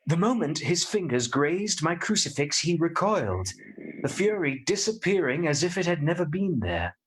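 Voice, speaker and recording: Man acting young gentleman, polite, formal, earnest, raised pitch, uneasy guest, radio play diarist. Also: very animated, normal speed, low-quality recording. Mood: uneasy, grave.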